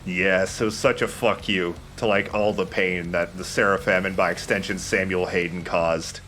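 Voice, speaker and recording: A man's voice: low-pitched, steady tone, normal speed, bright, forceful tone. A man giving casual, opinionated video game commentary with plenty of swearing. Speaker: let's play commentator. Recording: background music under the voice. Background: game music.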